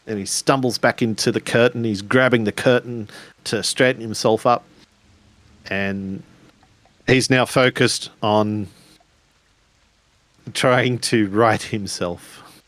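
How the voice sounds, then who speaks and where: Slow-paced man narrating a tabletop game. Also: fairly low pitch, fairly even intonation, fairly bright tone, dry recording. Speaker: player in a tabletop roleplaying game podcast. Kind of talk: improvised narration of game action.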